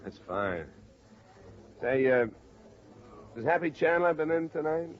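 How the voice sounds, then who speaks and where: An American actor playing a tough, wry insurance investigator in a clipped 1950s radio detective style. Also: male voice, a little high, animated, measured pace, a little hiss or hum. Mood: terse, firm. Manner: clipped, hard-boiled. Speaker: lead actor and narrator. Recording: dull vintage 1950s radio recording.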